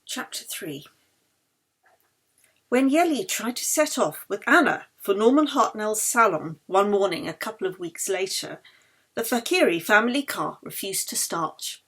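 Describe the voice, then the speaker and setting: A woman reading her own novel as expressive audiobook narration. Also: mid-range voice, a little slow, husky.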